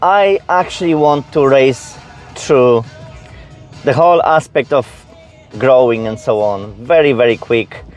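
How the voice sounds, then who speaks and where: A man with a Polish accent speaks at a middling pitch in a casual personal vlog. He is effusive and leans on repeated words to stress his points, with music audible behind him. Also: a little slow. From Poland. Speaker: vlogger presenting own channel.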